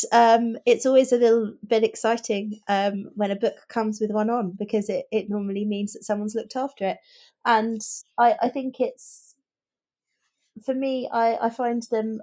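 A woman's voice: fairly high pitch, darkish tone. A woman with a British accent co-hosting a podcast, discussing books in an opinionated, conversational way. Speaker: podcast co-host. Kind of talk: casual book discussion.

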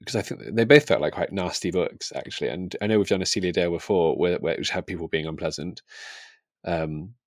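Very deep-voiced man chatting about books. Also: a little fast, no room sound, clean studio sound. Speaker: book podcast host. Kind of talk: casual book discussion.

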